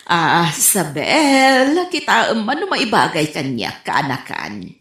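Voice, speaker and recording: An actress playing a coy, lovestruck middle-aged auntie in a comedic radio drama, with giggly, drawn-out delivery. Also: female voice, lowish voice, wildly swooping pitch, fairly bright tone, roomy sound, heavily acted. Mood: pleased, coy.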